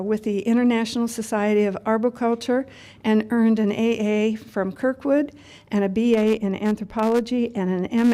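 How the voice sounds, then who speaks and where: A woman's voice: mid-range voice, mellow. A woman introducing a guest speaker, reading a prepared introduction in a formal, courteous way. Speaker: event host introducing a speaker.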